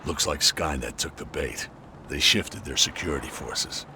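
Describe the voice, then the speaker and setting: A man playing a gruff, steadfast resistance soldier with terse, grave delivery in a video game cutscene. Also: extremely low pitch, moderate pace. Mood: grim, steady. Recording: loud background noise, vehicle-like ambience.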